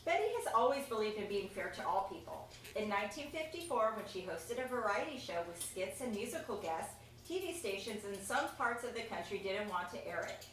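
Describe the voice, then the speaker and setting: A woman reading a children's picture book aloud, expressive and chatty, with casual asides about the pictures. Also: mid-range voice, fairly quick, hardly any pauses. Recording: echoey room, distant mic, faint noise.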